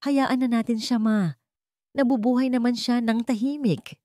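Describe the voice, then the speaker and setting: A woman's voice: fairly high pitch, fairly even intonation, normal speed, dark tone, no room sound, clean studio sound, voice pushed up, close to an everyday voice. Woman reading as subdued, weary rich son. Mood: pleading. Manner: subdued, evasive. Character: weary, subdued wealthy man pleading with his mother.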